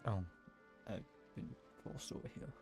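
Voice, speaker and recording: A man giving casual, offhand commentary while playing a game. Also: a little slow. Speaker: gamer. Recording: game music behind the voice.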